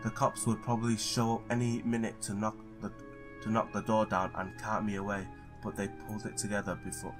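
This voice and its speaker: A man with a fairly low voice plays a visual novel and reads its text aloud. He trips over the odd word and breaks in with his own excited reactions. Faint game music plays behind him. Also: fairly quick. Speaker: gamer playing and reading a visual novel.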